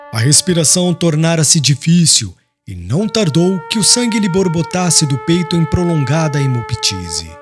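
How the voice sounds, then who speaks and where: Expressive male narrator over prominent music. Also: fairly high pitch. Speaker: audiobook narrator. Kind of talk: dramatic literary narration. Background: music with woodwind.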